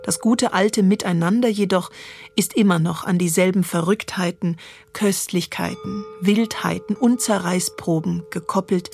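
A woman with a fairly low voice narrates a literary text. Her delivery is measured and poetic, with faint music behind her. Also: vocal fry, a little mellow.

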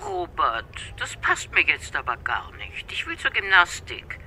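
A woman's voice: fairly low pitch, wildly swooping pitch, measured pace, sharp tone, a little hiss or hum, full character voice. An actress playing an unsentimental, impatient elderly mother on the phone in a radio play. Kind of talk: German radio play acting.

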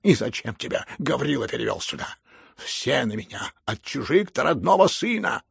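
A man's voice: mid-range voice, very animated, brisk, vocal fry, husky, no room sound, studio quality, exaggerated voice. A man voicing a drunken, pompous old general in an audiobook, wounded and tragic, given to roaring and stammering. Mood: furious roaring. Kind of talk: dramatized audiobook reading.

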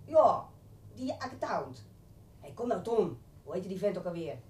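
A woman playing a small, bossy, fiercely protective crook's girlfriend in a radio drama, blunt and full of rough street talk. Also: medium pitch, big pitch swings, measured pace, a little mellow, distant mic. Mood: insistent. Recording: echoey room off mic, faint noise.

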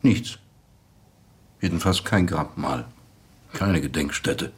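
Deep, husky, gravelly philosophical man. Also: full character voice. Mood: evasive. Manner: cryptic, measured, answers with questions. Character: evasive, philosophical man interviewed about someone's death. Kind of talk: radio drama interview dialogue.

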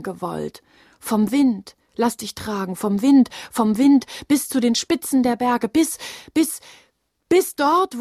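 A haunted young woman, highish slightly husky voice, grieving and defiant, poetic radio play, dry studio. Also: dry recording. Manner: declamatory, shifting from urgent to hushed. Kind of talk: poetic radio drama monologue.

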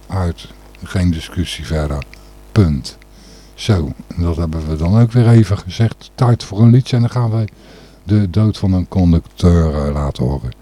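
Male radio host, very dark tone, husky, casual, opinionated, rambling. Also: deep voice. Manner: blunt, opinionated. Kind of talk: casual, rambling solo talk.

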